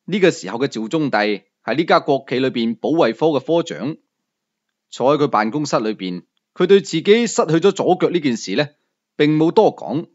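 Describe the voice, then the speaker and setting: Lively male storyteller, slightly high voice. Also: normal speed, highs cut off. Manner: first-person storytelling, rising and falling pitch. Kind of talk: Cantonese audiobook narration of a novel.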